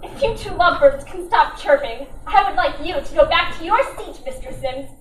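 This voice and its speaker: A woman's voice: extremely high pitch, animated, moderate pace. A woman playing a bossy, sarcastic, suspicious gangster sister in an old-time radio drama. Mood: scornful. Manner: sarcastic, scornful, commanding. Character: sharp-tongued, bossy, suspicious brunette sister, secretly a gangster. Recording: roomy, distant mic with faint noise.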